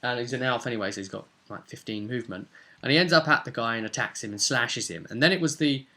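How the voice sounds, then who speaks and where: A man with a bright, forward voice recounts a tabletop fantasy game as its game master. His storytelling is casual and unscripted, rambling along with halting phrases. The pitch sits in an ordinary middle range for a man. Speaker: game master recapping a tabletop role-play session.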